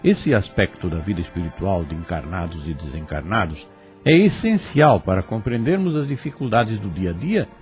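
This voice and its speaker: A man's voice: sits low, animated, normal speed, darkish tone. A Brazilian man giving formal, didactic spiritual commentary from a script. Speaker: commentator explaining the drama. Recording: faint music behind voice.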